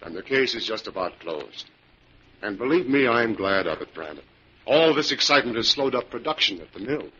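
Low, raspy mill boss. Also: male voice, lively intonation, moderate pace, bright, forceful tone, exaggerated voice. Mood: businesslike. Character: bluff, businesslike mill boss. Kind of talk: 1940s radio acting. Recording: dull old radio recording.

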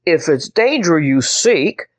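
A woman's voice: low-pitched, very animated, slow, heavily acted. A woman voicing a polite, agreeable male griffin who gives advice. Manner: polite, advising.

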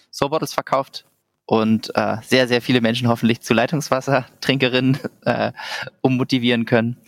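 A man's voice: medium pitch, creaky, no echo, clean studio sound. A man in his thirties from Germany, talking casually as a podcast guest, with many fillers and restarts. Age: 30s. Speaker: podcast guest, company founder. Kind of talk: casual interview talk.

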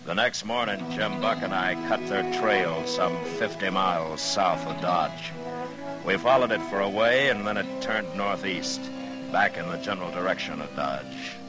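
An actor playing a weary, steady American frontier marshal in a 1950s radio western, dry, measured and understated. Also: male voice, deep voice, loud music behind the voice. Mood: somber, reflective. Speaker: lead character and narrator.

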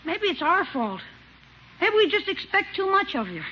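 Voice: very high voice, sing-song, normal speed, bright, forceful tone, exaggerated voice. An actor playing a cheeky, wisecracking young boy with blunt, slangy talk in a 1950s radio comedy. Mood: needling. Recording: muffled, noisy vintage radio recording.

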